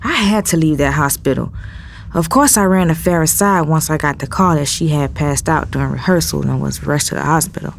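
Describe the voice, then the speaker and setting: An actress playing a protective, exasperated young woman in an audio drama, speaking in an expressive, emotive, slangy way as she narrates family turmoil. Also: low voice, fairly quick, vocal fry, a little hiss or hum. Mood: upset, weary.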